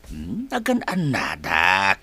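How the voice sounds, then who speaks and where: Casting: ailing old Ilocano farmer, grumbling and grunting, slow speech with a sharp, forceful edge. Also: male voice, deep voice, huge pitch swings, breathy edge, no room sound, full character voice. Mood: weary, muttering. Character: ailing, grumpy old father and grandfather, a farmer. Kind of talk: Philippine radio drama acting.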